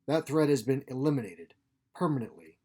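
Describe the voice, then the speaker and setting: A man reading the part of a stern woman starship captain in a story, crisp, curt and intimidating. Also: medium pitch, fairly quick, mellow, lightly acted.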